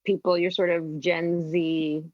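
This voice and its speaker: A woman's voice: fairly low pitch, little pitch movement, a little slow, no echo, lo-fi sound. A woman hosting an interview, asking looping questions and murmuring "mm-hmm" while listening. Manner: warm back-channel "mm-hmm", rambling questions. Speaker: radio host.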